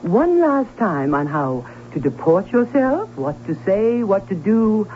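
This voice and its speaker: A prim older aunt, proud and fond of lecturing, with a very animated, swooping delivery. It is vintage radio acting, heard through a thin, phone-like recording with faint hum. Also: female voice, mid-range voice, a little slow. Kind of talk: old-time radio drama acting. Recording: thin phone-like old radio, humming noise.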